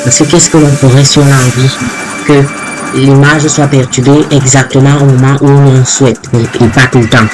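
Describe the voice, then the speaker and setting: A man giving an unscripted step-by-step software tutorial, halting and often repeating his words. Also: mid-range voice, little pitch movement, very noisy. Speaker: programming tutorial presenter. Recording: loud music behind the voice. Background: music.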